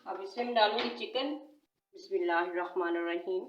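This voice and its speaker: A woman's voice: fairly low pitch, a little fast, lo-fi sound. A woman with a sore throat, hosting a cooking channel and patiently explaining each step of a recipe in a home kitchen. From Pakistan. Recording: echoey room.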